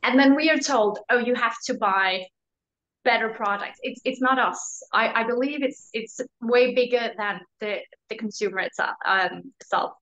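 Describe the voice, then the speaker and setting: A woman's voice: on the high side, a bit bright. A young woman panelist on a video call from a room at home, speaking earnestly and emphatically. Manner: earnest, emphatic, quick asides. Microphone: video call laptop mic. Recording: dull lo-fi call audio.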